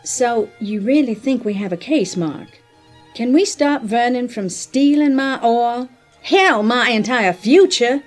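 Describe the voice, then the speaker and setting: Steely businesswoman out for revenge acted by a woman, slow measured pace, mid pitch, audio drama over light music. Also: animated. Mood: determined. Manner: deliberate, forceful, dramatic. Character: vengeful, determined businesswoman fighting for her oil.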